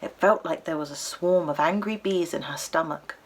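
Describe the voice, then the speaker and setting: A British woman reading a children's story aloud expressively, with animated delivery and dramatic pauses. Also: low-pitched, slight background noise, low-quality recording.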